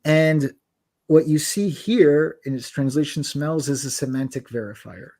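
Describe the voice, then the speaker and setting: Chatty man demoing software. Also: a little high, a little slow. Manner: chatty, self-deprecating, many restarts. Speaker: host demoing translation software. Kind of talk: casual live demo talk.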